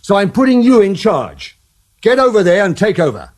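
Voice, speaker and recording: An actor playing a curt, irritable police superintendent in a radio drama. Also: male voice, sits high, very animated, moderate pace. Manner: curt, scolding.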